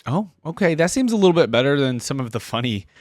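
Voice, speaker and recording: A man's voice: medium pitch, sing-song, fast talker, background noise. A man hosting a reaction video, giving casual, rambling gaming commentary as he thinks aloud.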